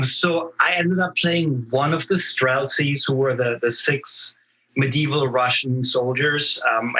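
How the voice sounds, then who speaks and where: A man speaking as a guest actor in a casual podcast interview, telling stories in a halting, thoughtful way. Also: mid-range voice, pushed and bright, rough recording.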